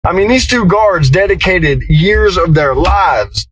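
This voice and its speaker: Man, candid rambling monologue, slightly raised voice, steady background noise, vehicle hum. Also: high voice, a little slow, rough recording. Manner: rambling, candid, repeats himself. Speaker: former employee telling his side. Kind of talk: casual reflective monologue.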